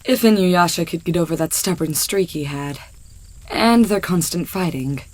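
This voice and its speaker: A woman's voice: fairly low pitch, moderate pace, poor audio. A woman narrator reading a scripted story as part of an audio drama.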